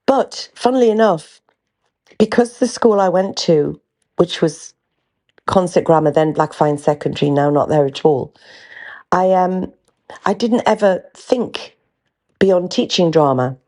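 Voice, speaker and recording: Low-voiced woman with a faint Geordie lilt. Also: lively intonation, a little slow, pauses often, warm tone. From North East England. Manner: chatty and unguarded, with frequent ums and restarts. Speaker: podcast host. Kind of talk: candid casual storytelling.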